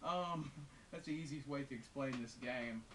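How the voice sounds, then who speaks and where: A man's voice: medium pitch, normal speed, fairly bright tone. A man giving a casual, unscripted video game review in a rambling, hesitant but amused and enthusiastic way. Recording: hissy, noisy home recording.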